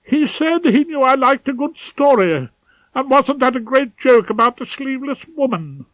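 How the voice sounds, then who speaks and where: A man's voice: extremely high pitch, lively intonation, normal speed, fairly bright tone, no echo, pitched above the performer's own voice, poor audio. A man voicing a pompous, prim, scandalised middle-aged male head barrister in an audiobook, with formal and hesitant phrasing. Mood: scandalised, aggrieved. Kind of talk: audiobook character voice.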